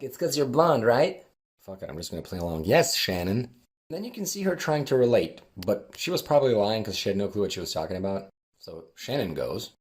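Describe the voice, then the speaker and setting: A man's voice: lowish voice, a little mellow. A young man from Switzerland telling a story in a wry, mocking way. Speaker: student.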